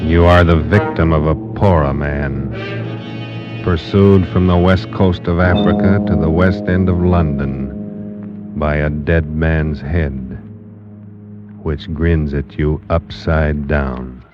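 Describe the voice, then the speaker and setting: Very deep, ominous teaser narrator. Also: male voice, steady tone. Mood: menacing. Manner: portentous, measured. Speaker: teaser announcer. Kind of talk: 1940s radio melodrama narration. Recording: muffled, narrow old radio sound, loud music.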